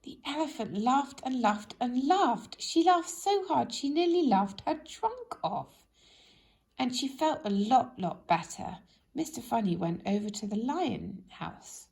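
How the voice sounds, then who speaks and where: A woman reading a children's story aloud in an expressive, animated way, speaking directly to young listeners. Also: on the high side, unhurried, creaky. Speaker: storybook reader.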